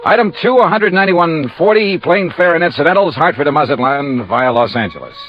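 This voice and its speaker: An actor playing a wry, self-assured American insurance investigator, brisk and dry, in 1950s radio noir style. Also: male voice, fairly high pitch, animated, over music, close to an everyday voice. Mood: wry narrating. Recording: dull old radio recording.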